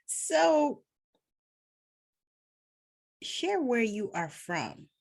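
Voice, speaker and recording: Brief: female host, slow unhurried delivery, expressive pitch, chatty and laughing. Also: vocal fry. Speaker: podcast host. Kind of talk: casual interview chat.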